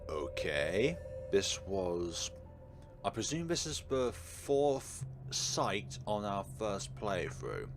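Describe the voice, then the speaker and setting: Low-voiced man, animated game commentary. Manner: expressive dramatic reading with chatty asides. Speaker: gaming video host. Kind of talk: let's play commentary, reading visual novel text aloud. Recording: music behind the voice. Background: game music.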